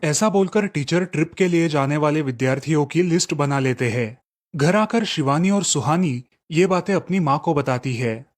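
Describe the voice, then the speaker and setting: A man's voice: on the high side, expressive, normal speed. A man reading scripted storybook narration for a cartoon tale.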